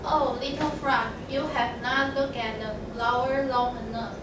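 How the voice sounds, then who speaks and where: A young woman playing a mother frog who gently corrects her child, reading slowly from a script. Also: high-pitched, fairly even intonation. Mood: gentle. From Taiwan. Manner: slow, careful reading from a script. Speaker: student reader. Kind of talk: readers' theatre reading. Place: large classroom. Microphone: far camera. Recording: echoey classroom with loud background noise, far off.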